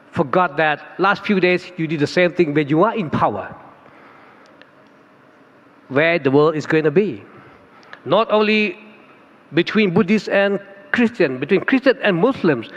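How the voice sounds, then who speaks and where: A fairly high-voiced man with a Thai accent delivers an earnest keynote in English, his second language, rising into emphatic stress. His voice rings with a long hall reverb, and the recording sounds dull and lo-fi. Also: a bit bright. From Thailand. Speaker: keynote speaker. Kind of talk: conference keynote speech.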